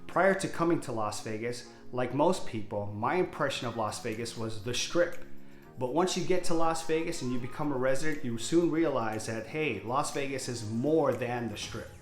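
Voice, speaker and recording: A man's voice: mid-range voice, animated, normal speed, roomy sound, soft background music. Chatty man in his fifties from Hawaii. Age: 50s. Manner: candid, talkative, upbeat salesman. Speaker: real estate agent. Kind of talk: direct-to-camera self-introduction.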